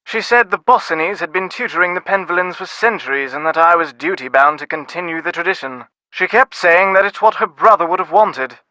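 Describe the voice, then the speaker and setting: Game role: snobbish British upper-class dad, middle-aged, sardonic, highish sharp voice, very close dry sound. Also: male voice, fairly quick, hardly any pauses, no room sound, rough recording. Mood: wry, reflective. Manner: pompous, wordy, dryly sardonic. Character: snobbish, dismissive middle-aged English father of aristocratic family. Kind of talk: scripted video game dialogue.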